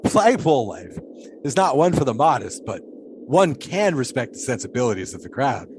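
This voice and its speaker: An actor playing a pious, philosophical 1920s flagpole sitter who talks in a folksy, preachy way. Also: male voice, medium pitch, sing-song, moderate pace, a little mellow, slight background noise, poor audio. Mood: musing. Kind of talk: audio drama acting.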